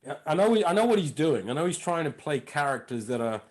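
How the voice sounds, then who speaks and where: Animated male comedian telling a story. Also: fairly high pitch, fast. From lives in North London. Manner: animated, acts out dialogue in put-on voices. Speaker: comedian and impressionist, guest. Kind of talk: casual interview storytelling.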